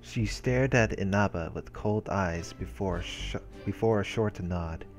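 Male let's play narrator, deep register, measured read of story text, faint music underneath. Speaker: let's play host reading visual novel text aloud. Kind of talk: narration read aloud with brief casual commentary. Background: game music.

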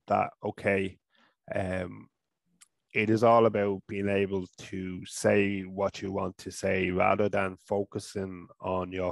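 Irish man, thirties, stutters through restarts; low, gravelly, dry headset sound, casual interview guest. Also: dry recording. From Ireland. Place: small room at home. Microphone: headset mic.

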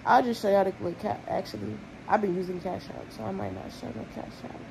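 A woman's voice: mid-range voice, fast talker, creaky, background noise. A young woman, chatting casually and bluntly to her vlog audience while tallying money aloud. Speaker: vlogger. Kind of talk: casual vlog talk to camera.

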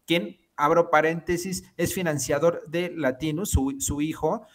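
A man's voice: on the high side, measured pace. A man giving political commentary as a guest analyst over a headset mic, emphatic and filler-heavy. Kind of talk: political commentary on video call. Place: home study with bookshelves. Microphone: headset with boom mic.

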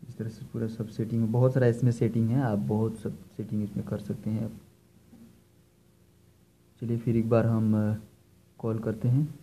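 Guy doing a casual how-to demo, soft dark voice, goes step by step and repeats stuff. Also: on the low side, flat delivery, moderate pace, long pauses, a little hiss or hum, poor audio. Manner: repetitive, step-by-step explaining. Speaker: electrician presenting a tutorial. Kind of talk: informal hands-on product demonstration. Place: indoors, filming equipment on the floor.

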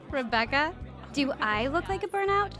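High, bouncy teenage party girl. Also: expressive, measured pace, music in the background. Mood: pushy teasing. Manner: teasing, breezy. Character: cocky, peer-pressuring teenage party girl friend. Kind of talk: audio drama acting.

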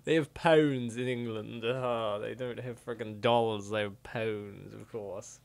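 A man hosting a comic dub, giving casual, excitable, exclamatory reaction commentary. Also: on the low side, unhurried, vocal fry. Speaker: comic dub host and narrator.

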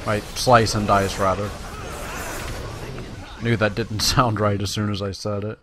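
Slow-talking man with a lowish voice. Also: little pitch movement, background noise. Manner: folksy, conversational, addresses viewers. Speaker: let's play host. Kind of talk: casual gameplay commentary. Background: video game sounds.

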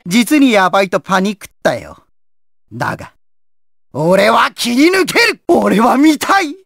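An actor playing a sadistic, deranged surgeon villain in a video game, theatrical, moving between silky menace and manic screaming. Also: male voice, sits high, big pitch swings, unhurried, gravelly, a bit bright, dry recording, clean studio sound, exaggerated voice. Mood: manic, sadistic glee. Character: sadistic, deranged male villain surgeon, gleefully obsessed with despair. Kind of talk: Japanese video game voice acting.

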